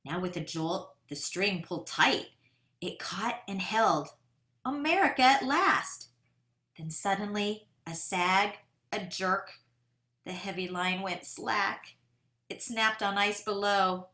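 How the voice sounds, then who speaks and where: A woman voicing an eager, determined boy who tells his own kite-flying tale in a dramatic, deliberate picture-book read-aloud. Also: medium pitch, animated, bright, forceful tone. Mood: tense, dramatic. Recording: roomy, echoing sound.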